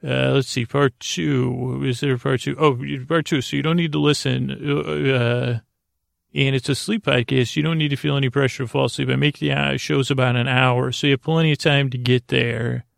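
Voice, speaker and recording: A man with a gravelly, creaky voice hosting a sleep podcast, rambling in a halting, whimsical way with silly asides and self-interruptions. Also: medium pitch, fairly even intonation, no room sound, clean studio sound. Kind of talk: rambling, whimsical bedtime monologue.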